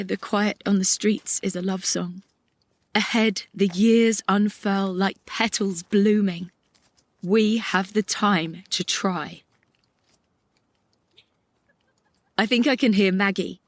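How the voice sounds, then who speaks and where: Actress doing slow poetic monologue as a sad, angry young woman, audio play, really deliberate. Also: medium pitch, steady tone, dry recording, lightly acted. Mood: hopeful, resolved. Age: young adult. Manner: lyrical, reflective, deliberate. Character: melancholic, angry, idealistic young woman in a ruined city.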